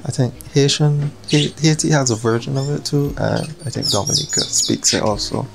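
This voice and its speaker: A man's voice: medium pitch, moderate pace, creaky. A man, casually talking to camera in a travel vlog with an easygoing, go-with-the-flow manner. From Barbados. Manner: laid-back, easygoing. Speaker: vlogger. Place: hotel room. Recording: background music under voice.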